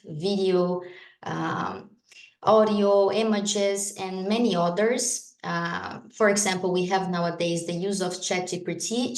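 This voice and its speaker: Brazilian accented woman giving a talk over a call, measured, lots of uhs, echoey room. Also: mid-range voice. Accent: Brazilian Portuguese. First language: Portuguese. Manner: measured, explanatory, frequent hesitations. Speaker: guest speaker, lawyer. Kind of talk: conference presentation.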